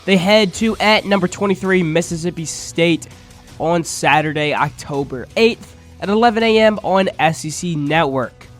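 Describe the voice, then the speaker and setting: A man with a congested, nasal voice while under the weather, hosting a podcast and giving a steady, stat-heavy sports preview. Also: fairly high pitch, normal speed, soft background music. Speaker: podcast host.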